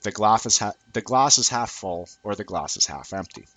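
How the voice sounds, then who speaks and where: A man's voice: fairly low pitch, animated, normal speed, a bit bright, no room sound. Man reading an essay aloud, dry close sound. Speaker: amateur reader of a written essay. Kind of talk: scripted essay read aloud.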